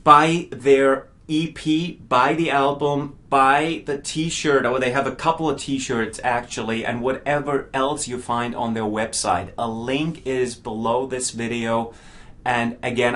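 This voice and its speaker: A man's voice: mid-range voice, a bit bright. A middle-aged man whose first language is not English, giving a casual, enthusiastic spoken album review and often stumbling over repeated words.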